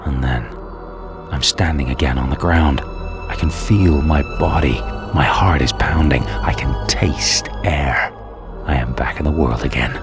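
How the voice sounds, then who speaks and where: An actor playing a damned, vengeful man in a video game, narrating his fate in an intense, brooding, theatrical way. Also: unhurried. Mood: grim awe. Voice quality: very deep voice. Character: damned, vengeful male antihero, bitter and doomed, recounting his fate. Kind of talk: dramatic first-person game cutscene narration. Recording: loud dramatic music behind.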